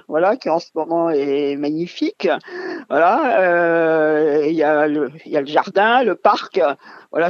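Older woman, halting and rambling. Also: deep voice, no room sound, highs cut off. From France. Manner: halting, rambling, many fillers. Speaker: mill owner, radio guest. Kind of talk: radio interview.

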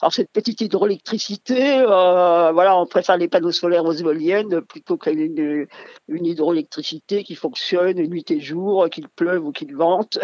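An older woman from France, a mill owner guesting on a radio interview, speaking in a halting, rambling way full of fillers. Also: fairly low pitch, darkish tone, no room sound, dull audio.

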